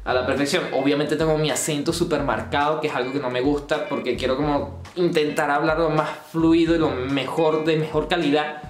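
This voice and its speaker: A man's voice: a little high, steady tone, brisk, few pauses, roomy sound, faint music behind. A young man in his twenties with a Caracas Venezuelan accent, vlogging casually to camera in his bedroom, rambling and restarting his sentences. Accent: Venezuelan Spanish, Caracas. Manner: rambling, restarts often, gestures while talking. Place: bedroom indoors.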